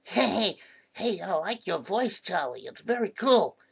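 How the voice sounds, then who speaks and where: A woman playing a playful, mischievous talking duck in a children's comedy skit, with a creaky, husky voice. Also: medium pitch, very animated, measured pace, heavily acted. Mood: upbeat, teasing. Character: playful, mischievous talking duck who loves pressing buttons.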